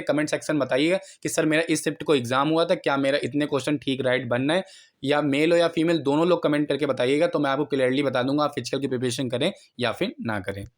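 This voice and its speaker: A man giving an informal, instructional explainer to students, repeating points and mixing in English words. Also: a little high. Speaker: exam analysis presenter, teacher.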